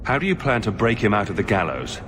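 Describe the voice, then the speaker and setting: An actor voicing a pragmatic, businesslike adventurer with a terse, direct delivery in a fantasy video game. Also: male voice, lowish voice, big pitch swings, fast talker. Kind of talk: dramatic video game voice acting. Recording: reverberant hall, clear background noise.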